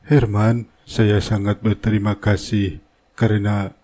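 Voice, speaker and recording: A man's voice: lowish voice, flat delivery, unhurried, warm tone. A man voicing a courteous, authoritative wealthy building owner and father in a cartoon drama. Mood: formal, firm.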